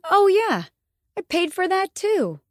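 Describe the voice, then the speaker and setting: A woman's voice: very high-pitched, big pitch swings, slow pace, dry recording, full character voice. Frustrated young stage actress, played by a woman: ambitious, emphatic, dramatic audiobook dialogue, swooping intonation, tight dry recording. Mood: brisk.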